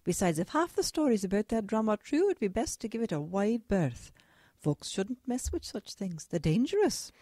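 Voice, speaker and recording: A weary mum of the war years. She is strict but loving, and her pitch moves about freely in a dry, close sound. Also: very animated, moderate pace, dark tone, no room sound. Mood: weary, cautioning. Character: weary, strict but loving wartime English working mother. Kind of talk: radio drama acting.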